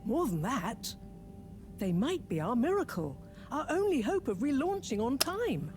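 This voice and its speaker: An actress playing an eager, self-assured scientist in a video game, explaining things in a precise, animated way. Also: female voice, high-pitched, unhurried. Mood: excited, eager. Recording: music behind the voice.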